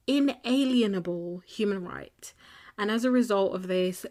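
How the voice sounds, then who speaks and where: A woman's voice: mid-range voice, a little mellow. A young woman hosting a casual solo podcast, chatty and self-deprecating, with jokey asides as she reads through her notes. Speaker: podcast host.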